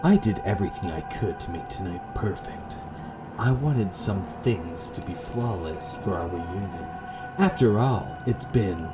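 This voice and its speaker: Man voicing a slow, wounded gothic woman lover. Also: low-pitched, expressive, over loud music, low-quality recording. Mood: wistful, accusing. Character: wronged gothic lover Lilith, woman, tender yet bitterly accusing.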